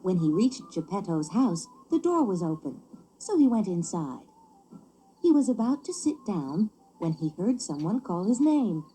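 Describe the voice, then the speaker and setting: A woman reading a children's story as scripted narration, with an expressive, theatrical delivery. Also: medium pitch, unhurried, slightly rough, faint music behind, low-quality recording.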